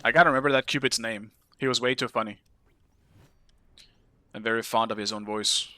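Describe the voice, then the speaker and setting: A Danish-accented man streams a game and chats with his viewers in a bright, forceful voice. He comes across as curious and talkative, with casual swearing. The sound is dry and close. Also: medium pitch, steady tone, brisk, dry recording. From Denmark. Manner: chatty, curious, swears casually, talks to chat. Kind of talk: casual live-stream chat while playing.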